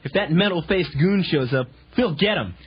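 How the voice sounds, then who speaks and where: Very husky teenage turtle joker. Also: male voice, fairly high pitch, lively intonation, moderate pace. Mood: confident. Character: laid-back, teasing teenage mutant turtle hero. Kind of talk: children's audio drama cartoon acting. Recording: dull lo-fi tape, music behind.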